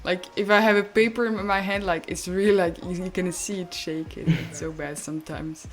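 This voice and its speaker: A woman's voice: fairly low pitch, fairly even intonation, brisk. A sixteen-year-old young woman from Belgium with a Belgian accent, chatting casually and often saying "like". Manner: frequent "like" as filler. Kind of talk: casual chat.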